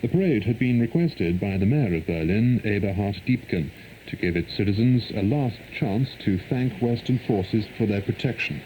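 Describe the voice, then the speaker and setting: A man reads a formal, measured world news bulletin, heard over shortwave radio. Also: on the low side, animated, mellow. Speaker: radio newsreader. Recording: noisy 1990s shortwave radio reception.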